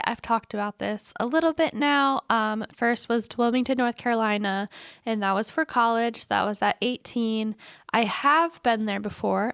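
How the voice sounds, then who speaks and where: A woman's voice: fairly high pitch, creaky, a bit bright, no echo, clean studio sound. Stuffy-nosed young woman rambling on a podcast. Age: 20s. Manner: rambling, chatty, self-deprecating. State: stuffy, congested from a cold. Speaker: podcast host. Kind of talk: casual solo podcast monologue.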